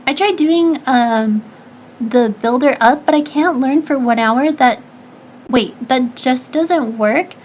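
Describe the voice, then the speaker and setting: High-pitched, slightly nasal woman streamer. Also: slow pace, a little hiss or hum. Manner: chatty, advice-giving, lots of restarts and fillers. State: stuffy nose. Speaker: streamer answering chat. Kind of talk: casual chat.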